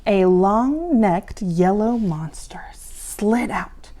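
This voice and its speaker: Female spooky-hostess storyteller for kids: theatrical, playful, unhurried, with big swings in pitch. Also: lively intonation. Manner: dramatic, playful, hushed for suspense. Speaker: costumed horror-hostess storyteller for children.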